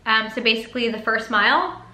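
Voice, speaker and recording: A young woman vlogger telling a casual story in a chatty, animated way, often acting out quotes. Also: fairly high pitch, a little fast, noisy background. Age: young adult. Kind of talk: casual story time vlog. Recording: roomy echo.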